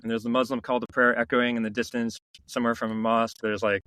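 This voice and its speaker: A middle-aged man, a company founder, telling stories in a relaxed interview, reflective and full of restarts. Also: mid-range voice, quick pace, smooth, dry recording. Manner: reflective, many restarts and "you know" fillers. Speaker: founder and CEO, podcast guest. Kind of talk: casual interview storytelling.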